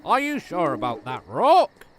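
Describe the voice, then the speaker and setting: A man as a high, swooping bandit henchman. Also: huge pitch swings, a little slow, crowd noise. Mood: taunting.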